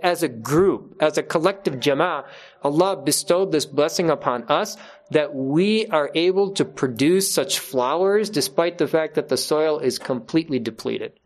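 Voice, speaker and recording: A man's voice: a little high, animated, normal speed. A man speaks to his community at a gathering, delivering a spontaneous religious talk. His manner is earnest and emphatic. He often restates a line to drive it home. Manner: earnest, emphatic, repeats key phrases for stress. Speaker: religious teacher addressing his congregation.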